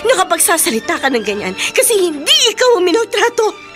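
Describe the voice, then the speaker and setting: A woman's voice: extremely high pitch, sing-song, a little fast, loud music behind the voice. A woman with a Filipino accent playing an abused young stepdaughter, plaintive and hurt, in a radio drama. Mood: bitter, tearful. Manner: plaintive, polite, submissive.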